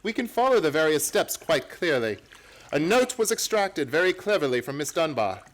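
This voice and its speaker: An actor playing a brisk, self-assured gentleman detective in an old-time radio play, theatrical and precise in his formal explanations. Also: a little high, very animated, low-quality recording. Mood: assured, explanatory.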